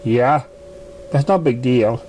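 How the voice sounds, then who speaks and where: A man's voice: mid-range voice, slow, a little mellow, a little hiss or hum, close to an everyday voice, poor audio. A man voicing a casual young male dragon who shrugs off concern in an offhand way, in a read-aloud story. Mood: dismissive.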